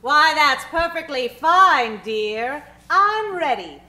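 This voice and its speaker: A woman's voice: sits high, expressive, slow, sharp tone, soft background music, full character voice. A woman playing a sweet, obliging housewife in a parody old-time radio commercial. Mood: cheery. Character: cheery, obliging mid-century housewife in radio ad. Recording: echoey stage.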